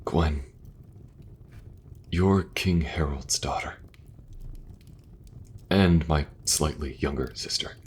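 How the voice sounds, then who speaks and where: A man playing an earnest young king who speaks gently and falters a little when things get awkward. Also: rumbling low, slow, pauses often, slightly rough, full character voice. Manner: gentle, soft-spoken, faltering at awkward moments. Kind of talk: audio roleplay acting.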